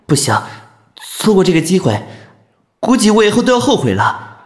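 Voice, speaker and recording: A man acting a flustered young geek in love, with a fairly high voice that swings widely in pitch. He is hesitant and easily embarrassed, stammering like the nervous lead of a romance audio drama. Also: big pitch swings, moderate pace. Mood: flustered, desperate. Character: shy, flustered, lovestruck geeky young man. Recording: music bed under the voice.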